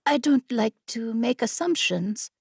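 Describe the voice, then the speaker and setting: A woman voicing an eager, curious female story-seeker in a fantasy audiobook, earnest and excitable. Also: fairly high pitch, measured pace, gravelly, slightly rough, dry recording, studio quality, full character voice. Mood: eager, hesitant.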